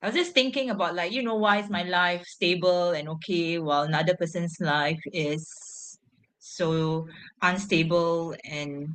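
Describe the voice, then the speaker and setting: Woman from Singapore, slow careful speech, smooth clear voice, halting with fillers. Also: on the low side, a bit bright, poor audio. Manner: halting, frequent fillers and pauses. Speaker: guest, education social entrepreneur. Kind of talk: interview answers.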